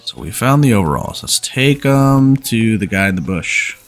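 A man's voice: lowish voice, very animated, normal speed. Congested man chatting over gameplay. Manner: chatty, reads game dialogue aloud in his own voice. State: congested from a cold. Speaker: gaming channel host. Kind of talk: casual let's-play commentary. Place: home room, webcam in corner. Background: faint game music.

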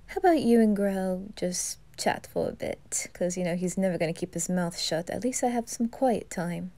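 A woman voicing a composed, weary male butler in an ASMR roleplay, speaking calmly and softly, at times in a whisper. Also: on the low side, moderate pace. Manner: calm, hushed, dry.

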